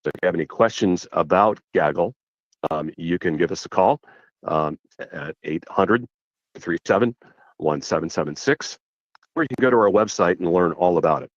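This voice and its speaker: Low-voiced man hosting a live demo. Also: normal speed, no echo, muffled recording. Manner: informal, conversational, explanatory. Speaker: host and product demonstrator. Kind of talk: casual live product demo and interview.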